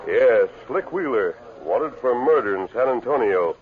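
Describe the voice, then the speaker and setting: Man acting stern masked cowboy hero, American, authoritative, decisive, animated delivery, muffled vintage radio western recording. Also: mid-range voice, unhurried, lightly acted. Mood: calm, assured.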